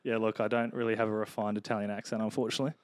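A man's voice: lowish voice, little pitch movement, very fast, no echo, clean studio sound. A man hosting a casual sports chat podcast, joking and steering the talk with questions. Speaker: podcast host.

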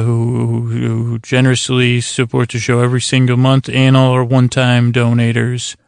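A male podcast host with a creaky, mid-pitched voice talks in a casual bedtime ramble. His intonation stays fairly flat as he wanders, stammers and circles back on his own phrases. He is self-deprecating, and the sound is close and dry. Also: dry recording. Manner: meandering, halting, stammering and repeating himself.

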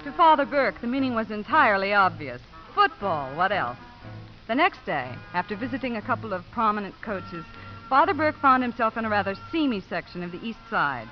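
Actress as a prim, expressive official woman. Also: medium pitch, fairly quick, faint music behind, natural voice. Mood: composed, narrating. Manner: precise, crisp, official. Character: prim, formal female court child-welfare investigator. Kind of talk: 1950s radio drama acting and scripted narration. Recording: muffled old 1950s radio recording.

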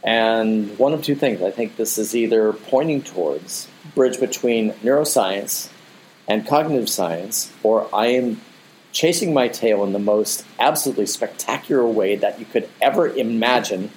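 A man's voice: lowish voice, normal speed, a little mellow, slight background noise, low-quality recording. A man, a digital artist, speaking as an interview guest in a casual, rambling way, often repeating "you know" and "the thing is.".

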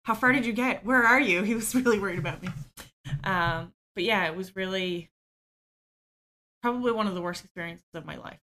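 Candid woman with a bright voice. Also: mid-range voice, brisk. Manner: open and self-deprecating, laughs easily, frequent ums. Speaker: podcast guest. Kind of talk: candid personal storytelling.

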